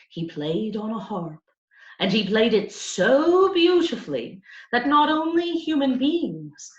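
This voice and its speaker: A woman's voice: fairly high pitch, voice pushed up. A woman voicing a courtly older male music teacher for a children's storybook, grandiloquent and dramatic. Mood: reverent storytelling. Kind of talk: children's storybook character reading. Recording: roomy, slightly dull sound.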